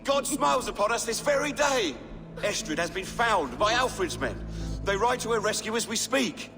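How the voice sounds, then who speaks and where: A man's voice: high voice, lively intonation, moderate pace. An actor playing a pious messenger addressing his lord with a proclaiming delivery in a game cutscene. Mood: joyous announcing. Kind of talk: video game cutscene acting. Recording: long reverb, music behind.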